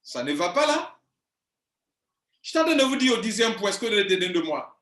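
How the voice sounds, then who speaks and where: Man, 40s, business coach; high-pitched, insistent, repeats points, roomy echo. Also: very animated, normal speed, fairly bright tone. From Ivory Coast. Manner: insistent, emphatic, repeats himself. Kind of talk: video monologue to viewers. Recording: echoey room.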